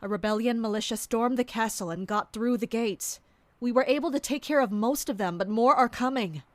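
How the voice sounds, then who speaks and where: A woman in an audio drama playing a loyal royal guard captain, brisk, alert and protective. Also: fairly high pitch, dry recording, natural voice. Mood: urgent, protective.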